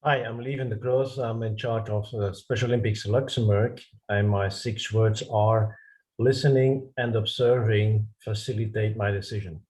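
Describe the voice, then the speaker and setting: A man's voice: on the low side, fairly even intonation, moderate pace, a little mellow, rough recording. A man who heads a national organization, introducing himself plainly on a video call. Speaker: head of a national sports organization.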